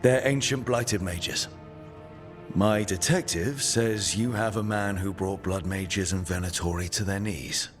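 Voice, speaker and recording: Casting: a wary leader in a fantasy game, lowish voice, no-nonsense and determined, music playing behind. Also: male voice, a little slow. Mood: wary, resolute. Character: male fantasy hero, resolute, wary leader. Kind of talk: video game voice acting.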